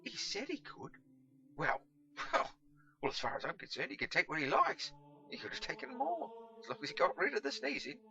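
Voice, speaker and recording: Man putting on a flustered little man, high and fussy. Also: huge pitch swings, a little fast, bright, forceful tone, lo-fi sound, faint music behind, exaggerated voice. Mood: amazed. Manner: hesitant, repetitive, fussy. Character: nervous, flustered little man humouring a madman.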